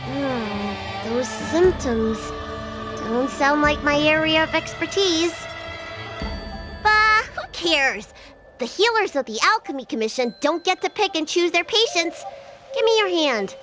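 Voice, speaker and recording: A woman voicing an earnest, easily flustered young girl healer in a video game, expressive and a little self-important. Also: sits high, unhurried. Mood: earnest, bossy. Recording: music playing behind the voice.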